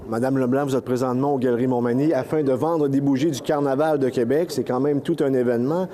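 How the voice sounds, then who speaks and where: A man's voice: medium pitch, fairly even intonation, fast talker, dark tone. A man with a Quebec French accent interviewing someone in the field as a local TV reporter, asking friendly, informal questions.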